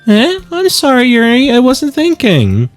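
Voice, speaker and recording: A man's voice: very high voice, wildly swooping pitch, measured pace, faint music behind, voice pushed up, heavily acted. A man voicing a bubbly, oblivious, kindhearted teenage schoolgirl from a visual novel. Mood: apologetic. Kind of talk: visual novel character read-aloud.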